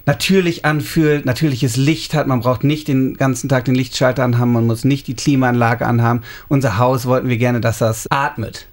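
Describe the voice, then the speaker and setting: A man in his thirties from Germany, calmly explaining details in a casual interview. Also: mid-range voice. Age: 30s. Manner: explanatory, unhurried, describing details. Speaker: interviewee, homeowner.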